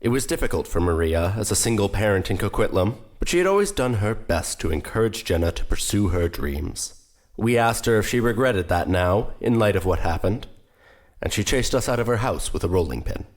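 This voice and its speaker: An actor as a grave male documentary host in an audio drama. His lowish voice rises and falls a great deal as he narrates with theatrical weight. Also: lively intonation, a little fast, natural voice. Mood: grave, wistful. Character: solemn male documentary programme presenter.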